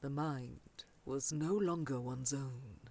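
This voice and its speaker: Woman acting a measured, caring fantasy aunt. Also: rumbling low, darkish tone, breathy edge, heavily acted. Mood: solemn. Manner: measured, formal, solemn. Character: caring, authoritative older scholarly aunt of a princess. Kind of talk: audio roleplay drama acting.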